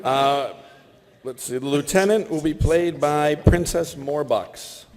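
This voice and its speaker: A man's voice: on the high side, slow, darkish tone. A man hosting a live stage show, casually announcing roles to performers and audience. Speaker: host. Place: convention stage before an audience. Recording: echoey convention hall.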